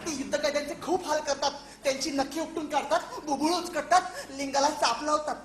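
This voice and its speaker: High-voiced, naive young soldier, played by a man. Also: brisk. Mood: earnest, indignant. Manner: excitable, repeats words. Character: eager, naive young male soldier, childishly fond of war. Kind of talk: stage comedy acting. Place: theatre stage. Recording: echoey, noisy stage recording.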